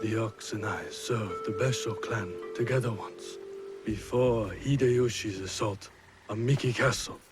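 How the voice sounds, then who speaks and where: A man playing a grave, weary Japanese lord in a video game, speaking in a measured, formal and solemn way. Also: on the low side, loud music behind the voice, close to an everyday voice. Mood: weary, guarded. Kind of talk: dramatic video game cutscene acting.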